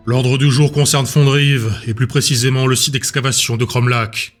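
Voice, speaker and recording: A man's voice: fairly even intonation, moderate pace, close to an everyday voice. A man voicing a stern, authoritative council president with a strong voice and a formal, impatient manner in an audio drama. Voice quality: strong booming voice. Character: stern, commanding, scarred male council president. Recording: music bed behind the voice.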